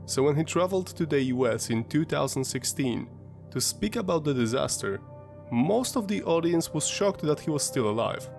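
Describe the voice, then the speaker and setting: A man's voice: a little high, animated, creaky, darkish tone, faint music behind. Congested male narrator. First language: Polish. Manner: measured, explanatory storytelling. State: congested from a sinus infection. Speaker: history channel host. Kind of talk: scripted documentary narration.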